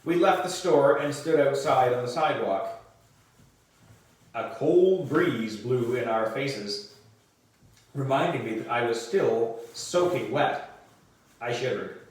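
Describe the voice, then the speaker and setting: A man reading a children's book aloud as narration, in a deliberate way with the odd stumble and reread. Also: mid-range voice, expressive. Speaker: volunteer reader for a book club. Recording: distant mic in echoey room.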